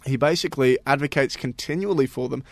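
A man's voice: medium pitch, fast talker, vocal fry, dry recording. Chatty man full of "you know"s. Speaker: podcast co-host presenting stories. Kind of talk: casual group chat.

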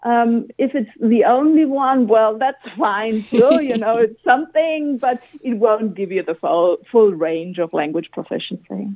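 A woman with a German accent explains things patiently, filling her pauses with soft ums as she works through each point. Her voice sits a little high and comes through thin and narrow, like a phone-line call into a radio show. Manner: thoughtful, explanatory, many ums. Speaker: guest expert on language learning. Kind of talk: radio interview answers. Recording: phone-line interview audio.

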